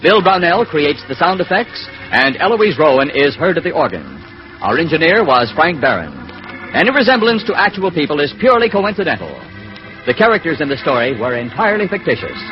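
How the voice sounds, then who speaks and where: Male announcer, fairly high voice, 1940s radio style, scripted read, muffled recording, faint music behind. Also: big pitch swings, a little fast.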